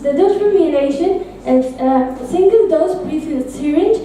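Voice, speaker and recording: Young student girl playing the pharmacist, soothing, high but mellow, distant. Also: expressive, moderate pace, soft background music. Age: young adult. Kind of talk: class presentation and role play. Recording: echoey room from far off.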